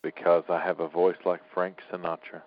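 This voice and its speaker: A man's voice: low voice, flat delivery, moderate pace. A man hosts a casual talk show. He banters and teases, stating his opinions freely. The audio is somewhat dull and lo-fi. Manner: teasing, opinionated.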